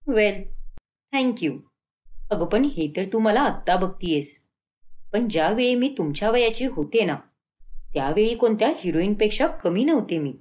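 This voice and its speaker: Woman voicing a vain, animated modern mother-in-law. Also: medium pitch, a little slow, lightly acted. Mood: boastful. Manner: boastful, argumentative.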